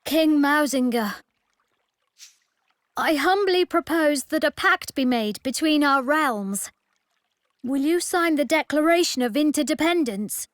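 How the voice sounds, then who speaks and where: High-pitched, earnest boy king. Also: lively intonation, a little slow, dry recording, studio quality. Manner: polite, formal, sincere. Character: earnest young boy king, polite and idealistic. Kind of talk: fantasy game acting.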